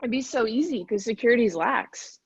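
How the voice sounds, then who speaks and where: A woman playing a chatty, high-voiced young schemer. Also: animated, normal speed, breathy edge. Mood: excited. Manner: casual, conversational. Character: flighty, chatty young woman planning a heist. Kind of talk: virtual screenplay table read. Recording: dull, dry remote call audio.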